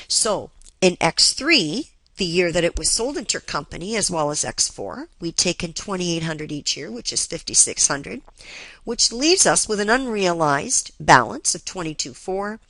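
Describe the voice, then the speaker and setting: A woman instructor explaining material step by step in a didactic way, with reminders and quick check-ins. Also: on the low side, a bit bright, no echo, poor audio. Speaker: accounting instructor. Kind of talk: explanatory lesson walkthrough.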